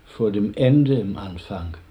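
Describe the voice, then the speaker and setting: A man's voice: fairly low pitch, lively intonation, takes it slowly, mellow. A man playing an anxious, stubborn male tramp in a German radio play, answering in terse, sceptical riddles.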